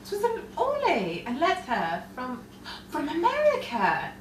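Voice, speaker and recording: An actress playing an attentive, teasing sister in a nostalgic radio drama, with a brisk, fussing, conversational manner. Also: female voice, sits high, wildly swooping pitch, exaggerated voice. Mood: fussing, friendly. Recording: roomy, distant, noisy live stage recording.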